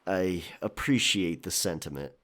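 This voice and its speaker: A man playing the young male lead of a pirate romance audio drama, teasing and expressive. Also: low-pitched, a little slow. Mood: softened, sincere.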